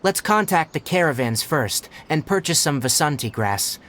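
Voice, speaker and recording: A man's voice: on the high side, animated, moderate pace, a bit bright. A man playing a composed, blunt young forest ranger and healer in a game, explaining things in an articulate, matter-of-fact way. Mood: focused, decisive. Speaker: forest watcher. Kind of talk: English game dub dialogue.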